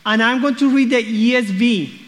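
A man with a Spanish accent preaches to a congregation, his voice sitting high for a man. He reads scripture and playfully draws the listeners in with questions. A long church-hall reverb trails behind him. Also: moderate pace, fairly bright tone. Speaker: guest preacher. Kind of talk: sermon with scripture reading.

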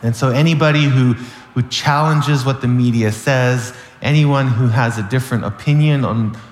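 A man with a raspy, hoarse voice from a cold, earnestly teaching a sermon, often restarting phrases and asking "right?". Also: medium pitch, normal speed, echoey room, big-room sound. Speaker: preacher. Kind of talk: sermon-style Bible teaching.

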